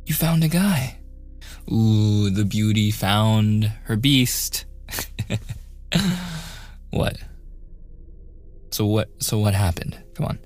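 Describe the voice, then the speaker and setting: A young man acting a playful, teasing younger brother in a soft, intimate boyfriend roleplay with casual, hesitant phrasing. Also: on the low side, drawn-out words, long pauses, dry recording, soft background music.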